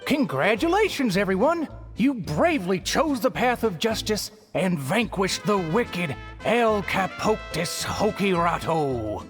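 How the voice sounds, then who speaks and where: An actor voicing a polite, formal host of an amusement resort. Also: male voice, sits high, big pitch swings, measured pace. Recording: game scene with faint music.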